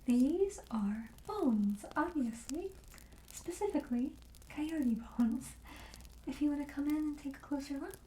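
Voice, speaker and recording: A mellow, soft-spoken witch shopkeeper, acted by a woman, gently welcoming and a little hesitant, slipping into whispers. She takes her time over each phrase in an ASMR roleplay style. The room adds some echo, with faint noise behind her. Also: high-pitched, animated, a little slow. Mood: gentle, explanatory. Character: friendly, soothing witch shopkeeper selling curious wares.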